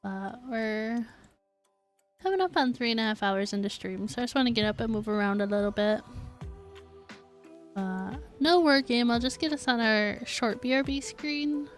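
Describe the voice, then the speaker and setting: A woman's voice: fairly high pitch, moderate pace, soft background music. A woman game streamer chatting casually and informally, thinking aloud as she talks to her viewers. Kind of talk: casual livestream chat.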